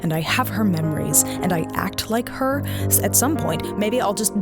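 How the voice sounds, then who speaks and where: A woman's voice: medium pitch, animated, normal speed, loud music behind the voice, lightly acted. A woman playing a hard-edged, wounded space officer in an audio drama, speaking with sarcastic, confrontational emphasis. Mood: anguished, bitter.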